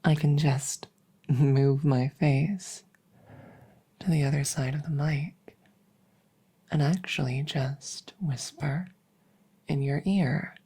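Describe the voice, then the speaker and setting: A woman speaking gently in a soft ASMR style, close to the mic, sometimes dropping into a whisper. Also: low-pitched, steady tone, slow pace, long pauses, husky. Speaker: audio roleplay creator. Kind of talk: soft-spoken ASMR introduction. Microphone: close stereo condenser mic.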